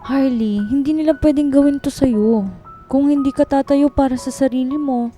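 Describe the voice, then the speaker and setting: Actress as a caring, very mellow-voiced female workmate. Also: sits high, moderate pace, faint music behind, close to an everyday voice. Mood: indignant. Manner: chummy, concerned, encouraging. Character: caring, indignant, supportive female office friend. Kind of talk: radio drama acting.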